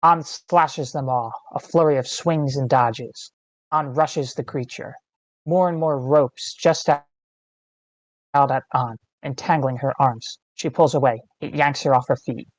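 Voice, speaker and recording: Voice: mid-range voice, measured pace, dry recording, rough recording. Stage-direction narrator: terse dramatic phrasing, scripted table read, close dry sound. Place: online meeting, close dry mic.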